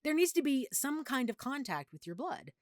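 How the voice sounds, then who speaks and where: Casting: actress as affectionate girlfriend with a vampire secret, intimate audio roleplay. Lively, swooping intonation, medium pitch, very dry close sound. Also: normal speed, no echo. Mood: playful, opinionated. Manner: chatty, playful, geeky explaining.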